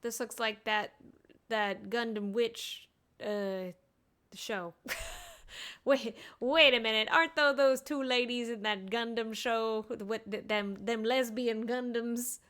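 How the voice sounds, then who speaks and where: High-voiced woman streamer, offhand. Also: fairly even intonation, takes it slowly, fairly bright tone. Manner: wry, offhand asides. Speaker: game streamer. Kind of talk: casual live reaction commentary.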